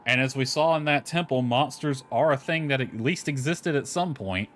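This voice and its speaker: A man's voice: mid-range voice, moderate pace, slight background noise. A man giving casual game commentary, reading dialogue aloud with offhand asides. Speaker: gamer commenting on own playthrough. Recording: lo-fi music playing behind. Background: background music.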